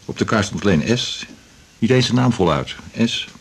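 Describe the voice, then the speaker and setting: An actor playing a dry, laconic male colleague in a radio drama, speaking tersely and deadpan. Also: fairly low pitch, moderate pace, slightly rough. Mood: dry, sceptical. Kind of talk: naturalistic radio drama acting.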